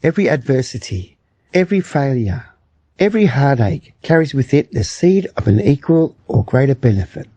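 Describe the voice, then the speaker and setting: A man's voice: mid-range voice, big pitch swings, normal speed, dark tone, dry recording. A man reading aloud in a motivational style, recorded with lo-fi sound. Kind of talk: read-aloud motivational quotation. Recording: dull, lo-fi, dry recording.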